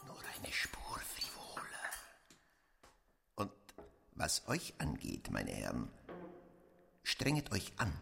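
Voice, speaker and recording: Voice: a bass voice, sharp tone, heavily acted. An actor playing a fawning, gluttonous banquet host in a radio play, bossy and theatrical, slipping between stage whisper and exclamation. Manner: breathy stage whisper breaking into swooping exclamations.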